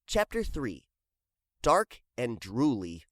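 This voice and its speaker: Female picture-book narrator, low register, very animated intonation, unhurried pace, dry close sound. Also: no room sound. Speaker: children's book reader. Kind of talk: expressive storybook narration.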